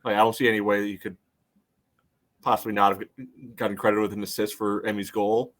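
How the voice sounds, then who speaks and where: A man's voice: on the low side, little pitch movement, fast talker, a bit bright. A man co-hosting a sports podcast in casual conversation.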